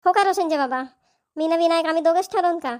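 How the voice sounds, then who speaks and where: A woman's voice: very high voice, fast, darkish tone. A woman voicing a chatty, persuasive middle-aged mother in a cartoon family comedy, with a Varhadi Marathi accent. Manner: coaxing, repetitive.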